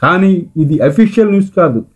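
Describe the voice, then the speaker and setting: A man's voice: high voice, expressive, fast talker. A man reading scripted entertainment news as a voice-over narrator.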